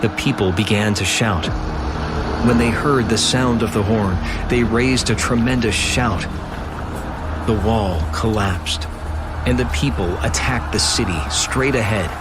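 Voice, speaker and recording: A man's voice: on the low side, a little slow, over loud music. A man giving dramatic, solemn scripted scripture narration for an audio drama. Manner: solemn, portentous.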